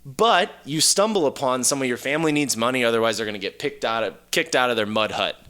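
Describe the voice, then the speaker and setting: A man's voice: medium pitch, fast talker. A man co-hosting a podcast, playful and a bit crude, telling stories and bantering casually with friends. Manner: playful, animated, mildly crude. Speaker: podcast co-host. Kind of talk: casual joking banter and storytelling.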